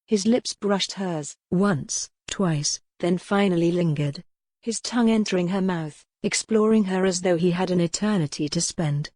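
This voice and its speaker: A woman's voice: lowish voice, unhurried, a little mellow, no room sound. A woman giving a scripted, dramatic narration of a historical romance audiobook.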